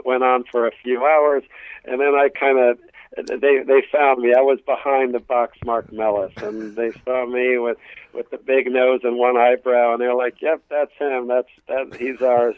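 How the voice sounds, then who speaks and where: A man's voice: medium pitch, dry recording. A middle-aged man with a New York-area accent, a native Greek speaker, telling jokey stories as an interview guest in a rambling way with stammering restarts. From New York and New Jersey, US. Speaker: guest, stand-up comedian. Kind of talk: casual comic storytelling in an interview. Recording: dull lo-fi radio sound.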